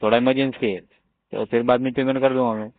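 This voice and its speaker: A man's voice: mid-range voice, little pitch movement, a little fast, no echo. An Indian man on a casual phone conversation as a loan customer, evasive, stubborn and nonchalant in his answers. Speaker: loan customer on a collection call. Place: on a phone line. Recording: tinny, narrowband phone call recording.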